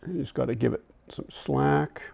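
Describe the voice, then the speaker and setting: A man in his sixties informally explaining and demonstrating gear, in a conversational, halting way that often backtracks. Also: mid-range voice, vocal fry, darkish tone. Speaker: audio-visual technician and product reviewer presenting vintage tape gear. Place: indoor room at home, seated at a table.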